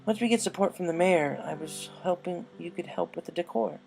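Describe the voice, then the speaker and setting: A man's voice: high voice, brisk, vocal fry, a little mellow, soft background music, voice pushed up, poor audio. A man voicing a loyal young female pegasus pony in a storybook reading, earnest, eager and casual in manner.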